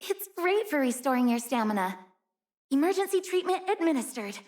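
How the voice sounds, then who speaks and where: A young female mountain rescuer from a video game. Her voice is high and girlish, and she comes across as gentle and sincere. She speaks politely, with real care for the people around her. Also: very animated, normal speed. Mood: alert, helpful. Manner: earnest, caring, polite. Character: earnest, gentle young female snow-rescue team member. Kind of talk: video game character voice lines.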